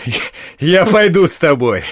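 An actor playing a hearty, frank outlaw in a Russian audio drama, with an amused, brisk manner. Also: male voice, a little high, big pitch swings, no room sound, studio quality. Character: hearty outlaw men, cook and band leader.

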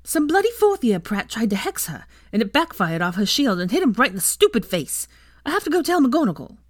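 A woman's voice: medium pitch, wildly swooping pitch, normal speed, no room sound, clean studio sound, voice pushed up. A woman voicing a brash, fiery teenage girl with a blunt, emphatic manner in an audiobook. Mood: angry, indignant. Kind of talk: audiobook character voice.

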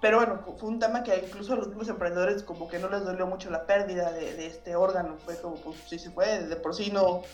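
A Mexican woman editor, a guest in an interview, relaxed and self-deprecating, with a Mexican Spanish accent. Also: on the low side, fast, few pauses, echoey room, faint music behind. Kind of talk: casual interview.

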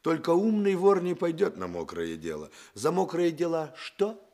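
An actor playing a roguish young thief in a radio play, colloquial and wheedling. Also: male voice, fairly high pitch, moderate pace, noisy background. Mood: cheeky. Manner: wheedling, colloquial, theatrically expressive. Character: roguish young male Odessa thief, cheeky yet pleading. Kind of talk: Soviet radio play acting.

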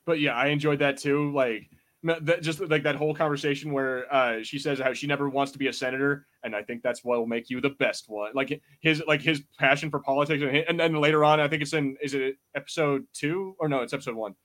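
A man's voice: medium pitch, fairly even intonation, fast talker, fairly bright tone. Man chatting casually on a podcast. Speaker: podcast co-host. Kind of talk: casual film review chat.

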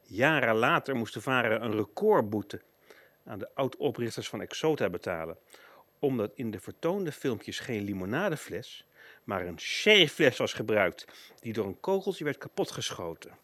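A man's voice: medium pitch, big pitch swings, normal speed, fairly bright tone, no echo. A man narrating a scripted documentary-style radio play, presenting background facts as a storyteller.

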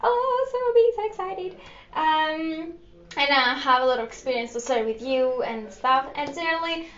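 A woman's voice: sits high, animated, moderate pace, fairly bright tone. A young woman with a Greek accent, hosting a casual solo podcast, rambling and upbeat with lots of fillers and giggles. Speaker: podcast host. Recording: echoey room, slightly dull lo-fi sound.

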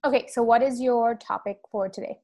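A woman's voice: a little high, fairly even intonation, fairly quick, warm tone. A woman hosting a casual podcast, asking her guest questions and laughing along. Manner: friendly interviewer, asks and laughs along. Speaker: podcast host. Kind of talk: casual chat.

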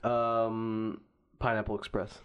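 A man's voice: lowish voice, completely flat delivery, slow, clean tone, phone-quality sound. A man co-hosting a casual comedy podcast, laughing a lot and swearing as he jumps between topics. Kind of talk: casual banter.

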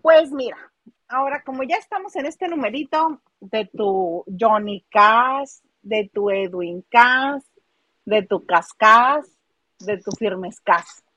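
A woman's voice: fairly high pitch, slow, a bit bright, no room sound, rough recording. A woman with a Mexican accent co-hosting a casual celebrity gossip live stream, playful and teasing as she talks and reads out viewer comments.

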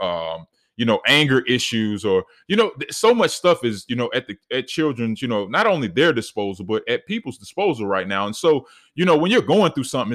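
A man in his thirties with a deep voice, hosting a solo podcast and talking earnestly, with many fillers and restarts. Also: fairly bright tone. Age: 30s. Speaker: podcast host.

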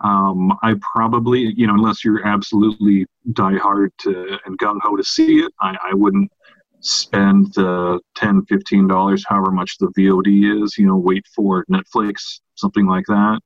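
Low-voiced man, dull dry lo-fi recording. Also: normal speed, vocal fry, no room sound. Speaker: podcast co-host. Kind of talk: casual film review chat.

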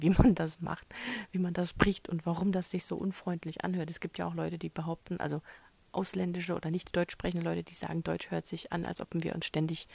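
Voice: mid-range voice, creaky, darkish tone, slightly rough, no echo. A novelist from Germany talking through a rambling solo monologue, musing aloud and often dropping into a whisper.

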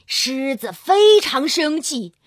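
A woman storyteller narrating a scripted fairy tale for young children in an expressive, drawn-out manner. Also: high voice, heavy background noise. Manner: expressive, drawn-out storytelling with gentle sentence particles. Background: children's music.

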